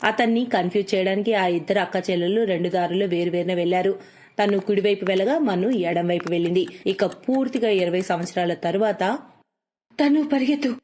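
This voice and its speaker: A woman's voice: mid-range voice, a little fast, a little mellow, a little hiss or hum. A woman narrating a cartoon moral story in a scripted storytelling style.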